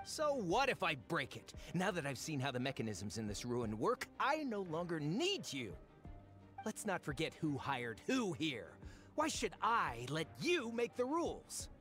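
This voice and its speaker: A man's voice: fairly high pitch, huge pitch swings, a little slow, a bit bright, full character voice. An actor playing a greedy, pompous, blustering man who sponsors a treasure hunt in an anime game, with a theatrical delivery. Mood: indignant, scornful. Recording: music behind the voice.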